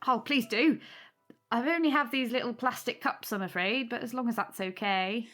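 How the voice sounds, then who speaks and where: Woman playing a gentle, accommodating young hostess. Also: fairly high pitch, normal speed, natural voice. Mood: welcoming. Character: gentle young aunt, modest, eager-to-please hostess. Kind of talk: audio drama acting.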